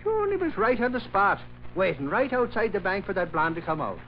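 Blustery high-voiced reporter, wildly animated. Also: male voice, fairly quick. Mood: animated, gossipy. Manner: blustery, exasperated, colloquial. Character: excitable, blustery male newspaper reporter with slangy talk. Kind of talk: old-time American radio drama acting. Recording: muffled, hissy old radio recording.

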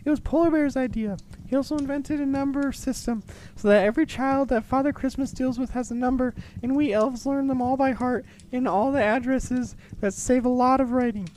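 Storybook Christmas elf secretary, male performer: very high, earnest, chatty, busy; faint background noise. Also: fairly even intonation, fairly quick, darkish tone, low-quality recording. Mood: earnest, newsy.

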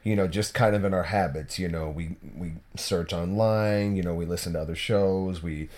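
Low-ish guy chatting about football, rambly, jokes at his own expense. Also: moderate pace, darkish tone. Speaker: podcast co-host. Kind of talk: casual football chat.

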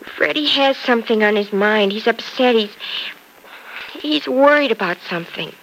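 A woman's voice: fairly high pitch, normal speed, fairly bright tone, slight background noise, poor audio. An actress playing an anxious young wife in a 1950s radio soap, earnest and pleading with her husband, close to tears. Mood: tearful.

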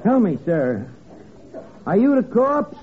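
Eager, high-pitched detective's sidekick, tinny old radio. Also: male voice, big pitch swings, takes it slowly, heavily acted. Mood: earnest questioning. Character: eager, dim male detective's sidekick, narrating the case. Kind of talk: 1950s radio comedy detective spoof acting. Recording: tinny, band-limited old radio recording with hiss.

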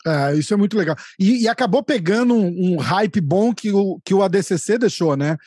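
A man's voice: high-pitched, lively intonation, a little fast, warm tone, dry recording, studio quality. A man from São Paulo with a Paulista accent, hosting a casual interview in a chatty, enthusiastic, slangy way. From São Paulo, Brazil. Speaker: host.

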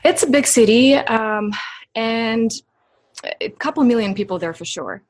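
A woman's voice: on the high side, expressive, a little slow, dry recording. A woman from Ukraine with a Ukrainian accent, chatting in a friendly way as a podcast guest. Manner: friendly, chatty, laughs easily. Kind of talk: casual interview chat.

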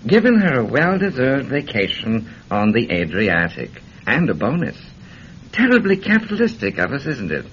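An actor playing a mocking, urbane Soviet spymaster in a radio drama, sardonic and theatrically polite. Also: male voice, medium pitch, huge pitch swings, normal speed. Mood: smug, gloating. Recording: dull, faintly noisy radio drama recording.

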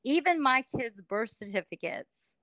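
A woman's voice: a little high, lively intonation, measured pace, a bit bright, dry recording, rough recording. A middle-aged woman from Alabama, telling her story as a guest in a casual interview, chatty with many fillers.

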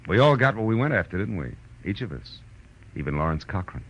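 A man's voice: deep voice, very animated, fairly quick. An actor playing a world-weary, wry male private detective in a 1940s radio drama, speaking laconically and narrating his case. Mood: wistful, reflective. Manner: laconic, wry, tender with women. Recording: muffled vintage radio recording with music.

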